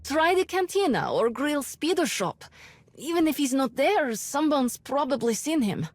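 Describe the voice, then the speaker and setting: A woman voicing a fed-up, impatient townsperson in a video game, blunt and animated. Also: high-pitched, moderate pace, no echo. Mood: pleading.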